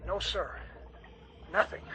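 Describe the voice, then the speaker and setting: A man's voice: slow, bright, forceful tone, a little hiss or hum. A man playing a dutiful, earnest Navy diver in a radio drama, respectful and eager. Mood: focused.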